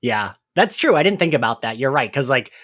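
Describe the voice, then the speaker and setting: A man's voice: mid-range voice, very animated, a little fast, fairly bright tone, dry recording, clean studio sound. A man hosting a podcast in a casual recap discussion, chatty and hedging, often saying "like". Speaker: podcast host.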